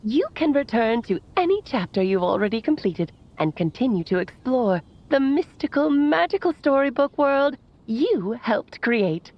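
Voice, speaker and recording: A woman's voice: fairly high pitch, very animated, normal speed, lo-fi sound. A woman voicing a breezy, eccentric witch aunt in a video game, theatrical and teasing, as if on a recorded message. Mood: playful, breezy. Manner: theatrical, teasing, sing-song.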